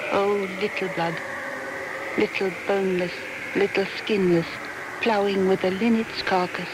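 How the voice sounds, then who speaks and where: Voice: medium pitch, heavy background noise, lightly acted. An actor playing an eerie chanter of a primal lament, reciting verse solemnly and incantatorily in a radio play.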